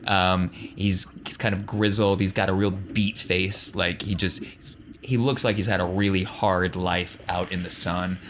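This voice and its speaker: A man's voice: low voice, flat delivery, unhurried, a bit bright. A man working as game master, improvising narration and rules in an informal, colloquial way. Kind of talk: improvised tabletop game narration and casual chat. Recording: clear background noise.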